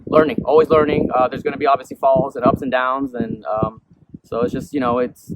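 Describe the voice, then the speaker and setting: A man's voice: medium pitch, fairly quick, gravelly. A young man born in Peru, a musician being interviewed, who rambles casually and fills his talk with "you know" and "um". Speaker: musician guest. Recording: noisy background.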